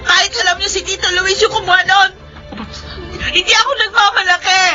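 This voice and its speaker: A woman's voice: extremely high pitch, steady tone, a little fast, sharp tone, exaggerated voice. A woman acting an anguished, defiant young granddaughter in a Filipino radio drama, emotional and pleading. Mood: tearful, angry. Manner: emotional, pleading, tearful delivery. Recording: dull lo-fi broadcast, music underneath.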